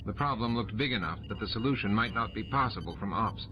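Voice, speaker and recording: A man's voice: fairly low pitch, lively intonation, fast, background noise. A man reading scripted audiobook narration of a story. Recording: muffled audio under loud music.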